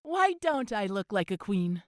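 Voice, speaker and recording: A woman playing an affectionate mother in an audio drama, tender and gently teasing. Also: mid-range voice, sing-song, a little slow, no room sound, clean studio sound. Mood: tender, playful.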